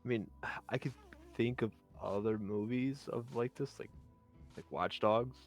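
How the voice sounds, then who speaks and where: A man's voice: medium pitch, measured pace, soft background music. A man speaking casually and a little tentatively as a panelist in a streamed group discussion. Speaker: panelist on a live-streamed round table.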